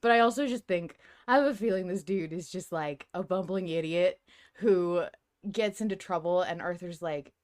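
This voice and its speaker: A woman's voice: on the low side, normal speed, a little mellow. A woman speaks as a podcast host in a loose fan discussion. She is emphatic and conversational, and her casual talk is salted with swearing.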